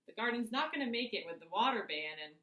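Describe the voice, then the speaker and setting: A woman's voice: a little high, animated, fast talker, fairly bright tone, echoey room, voice pushed up, poor audio. A woman voicing a genial, teasing, mildly grumbling suburban dad in a children's story. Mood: chatty, grumbling.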